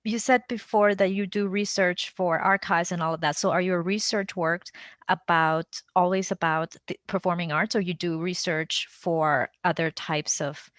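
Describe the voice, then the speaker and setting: Lowish-voiced woman interviewing casually, dry sound. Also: steady tone, normal speed, fairly bright tone, no echo. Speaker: podcast interviewer.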